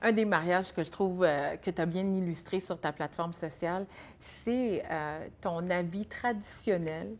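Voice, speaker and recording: A woman's voice: on the low side, measured pace. A woman hosting an interview, asking questions with engaged enthusiasm. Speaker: interviewer host. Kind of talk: conversational interview.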